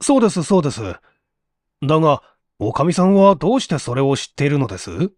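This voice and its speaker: Audio story role, read by a man: restless male assistant, courteous, animated pitch, dry close sound. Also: fast talker, dry recording. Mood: inquisitive. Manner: polite, restless, questioning. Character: polite, fretful male workshop assistant.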